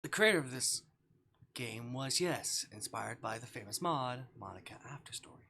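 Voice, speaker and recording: A man's voice: mid-range voice, expressive, normal speed, sharp tone. A man livestreaming a game casually, reading visual novel dialogue aloud with offhand, murmured asides. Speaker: streamer. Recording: clear background noise.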